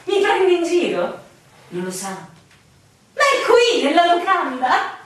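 An actress playing a proud, knowing barmaid at a village inn in a stage-style radio drama. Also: female voice, very high voice, huge pitch swings, slow pace, full character voice. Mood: knowing, conspiratorial. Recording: echoey room, distant mic, faint noise, clicks.

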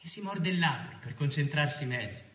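An actor playing a sober, dogged newspaper journalist, narrating in measured, clipped phrases as theatre. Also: male voice, on the high side, animated. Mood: reflective. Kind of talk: theatrical first-person narration in present tense. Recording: roomy stage sound with loud music. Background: music.

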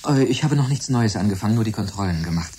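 An actor plays a fussy, eccentric laboratory scientist in a German radio play. His low voice is polite, absorbed and precise. A faint stirring, sizzling noise sits behind him. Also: lively intonation, quick pace, darkish tone, natural voice. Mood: businesslike. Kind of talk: German radio drama acting.

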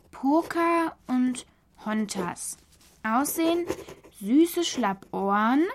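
A woman playing a wry schoolgirl who tells her own story deliberately in a children's radio play. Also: a little high. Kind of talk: scripted first-person children's radio play narration.

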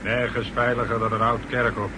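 A man's voice: mid-range voice, level tone, fairly quick, lightly acted. A man playing a menacing henchman who mocks in colloquial, darkly joking talk in a 1960s radio drama. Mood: dryly mocking. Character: sardonic, menacing male henchman. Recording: faintly noisy 1960s radio recording.

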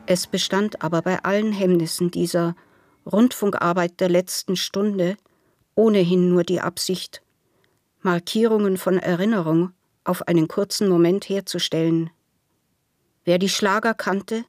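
A woman acting as a sober narrator of a wartime radio play. Her voice sits on the low side, and she reads in a measured, factual way. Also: steady tone, darkish tone, no echo, clean studio sound, lightly acted. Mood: matter-of-fact. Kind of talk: scripted narration.

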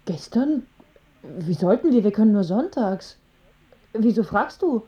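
An actress in a radio drama playing a sorrowful mother with a breathy, hushed voice. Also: medium pitch, lively intonation, measured pace, dull audio. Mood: strained, hesitant. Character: sorrowful mother of a sick boy.

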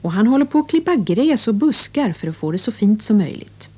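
A woman's voice: mid-range voice, very animated, dark tone, slight background noise. A woman presenting a children's English lesson with scripted narration and instructions.

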